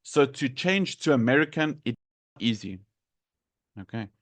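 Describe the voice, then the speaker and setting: The South African man at the front of an English class, sounding words out deliberately in a close, dry recording. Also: medium pitch, expressive, no room sound. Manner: deliberate, repeats and enunciates words for learners. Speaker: English teacher. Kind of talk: live lesson explaining pronunciation to students.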